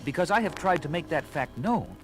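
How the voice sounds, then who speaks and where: An animated, high-voiced scholarly ape. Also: male voice, brisk. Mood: scholarly, earnest. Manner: erudite, hesitant, formal. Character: learned, courteous male ape scientist, a fugitive. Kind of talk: 1970s audio adventure acting. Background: dramatic music.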